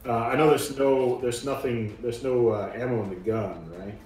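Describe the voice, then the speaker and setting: A man's voice: mid-range voice, fairly even intonation, moderate pace, a little mellow, soft background music. A man playing a tabletop game, casually and hesitantly working out his move aloud. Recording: very echoey, far from the mic.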